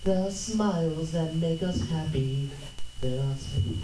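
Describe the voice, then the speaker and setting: A man's voice: fairly high pitch, measured pace, lightly acted. Plaintive radio beggar , male actor, meek and polite, echoey room with background noise. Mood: humble. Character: poor, meek blind street-singing beggar. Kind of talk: 1940s radio drama acting. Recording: echoey noisy convention room, distant mic.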